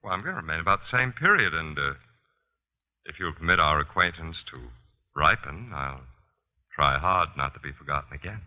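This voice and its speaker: An actor playing a courtly, hesitant, ingratiating con artist in a 1940s radio drama. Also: male voice, very deep voice, lively intonation, normal speed. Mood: suave charm. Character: smooth-talking male con artist feigning old acquaintance. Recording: tinny old 1940s radio drama recording, faint music.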